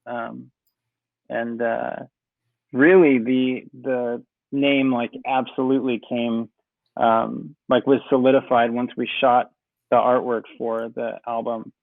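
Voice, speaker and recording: A man, a music producer, talking casually in an interview, relaxed and rambling with many fillers. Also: medium pitch, slow pace, vocal fry, dry recording. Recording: tinny phone-like call audio.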